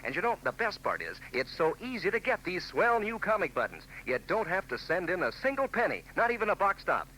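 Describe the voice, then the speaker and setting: A hearty man selling to kids on a 1940s radio show, in a salesy manner. His fairly high voice swings lively up and down and sounds bright. Faint hiss of an old broadcast lies behind. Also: brisk, few pauses, rough recording. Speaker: announcer.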